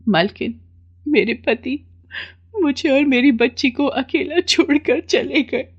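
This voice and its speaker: A humble, sorrowful housemaid, acted by a woman in a storybook tale. She speaks meekly and gently, with deference. The sound is a bit muffled. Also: high voice, takes it slowly, voice pushed up. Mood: meek, sad.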